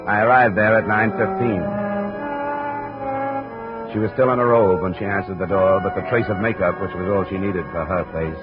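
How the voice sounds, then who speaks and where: An actor playing a wry, hard-boiled American investigator, clipped and world-weary, in 1950s radio noir style. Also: male voice, fairly low pitch, lightly acted. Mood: dry, narrating. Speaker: lead actor and narrator of a radio detective series. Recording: dull vintage radio recording with music.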